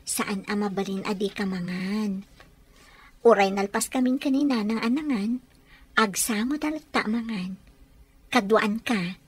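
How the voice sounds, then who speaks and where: A woman playing a caring, practical young bride-to-be in a radio drama, solicitous and gently insistent. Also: mid-range voice, unhurried, long pauses, slightly rough.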